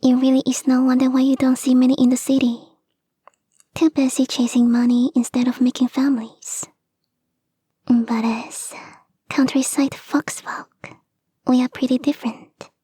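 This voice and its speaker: Woman voicing a high, whispery, clingy fox girl. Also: little pitch movement, moderate pace, no echo. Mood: coy, confiding. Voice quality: soft, breathy, often whispering. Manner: coaxing, sweet, playfully insistent. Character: clingy young kitsune fox girl, eager to marry. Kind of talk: intimate ASMR roleplay addressed to the listener. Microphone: close, dry microphone.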